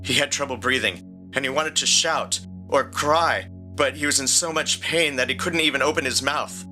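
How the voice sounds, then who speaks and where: A man's voice: medium pitch, normal speed, pushed and bright. A man reading a scripted story as a dramatic narrator, with music underneath. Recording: prominent music bed.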